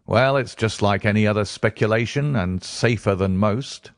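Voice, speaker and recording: A brusque, bustling gentleman fires off blunt questions and lays out a business deal, as a man voices him in a storybook reading. The voice sits a little lower and gruffer, sometimes set a touch back from the mic. It is recorded in a dry, close space with no room sound. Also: moderate pace, no echo, lightly acted. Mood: businesslike. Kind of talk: audiobook character reading.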